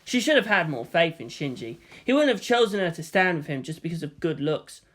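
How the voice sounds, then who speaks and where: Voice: mid-range voice, very animated, a little fast, fairly bright tone. A narrator reading fan fiction aloud as scripted storytelling.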